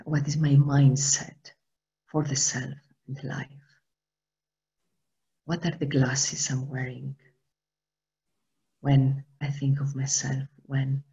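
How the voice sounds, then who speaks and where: A woman's voice: extremely low pitch, gravelly, dark tone, slightly rough. A woman in her sixties with a Greek accent, teaching a meditation workshop over a video call, hesitant and full of fillers. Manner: hesitant, many fillers, searches for words. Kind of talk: slow, halting workshop talk. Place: home room, indoors. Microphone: video call webcam. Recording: dull lo-fi video call audio.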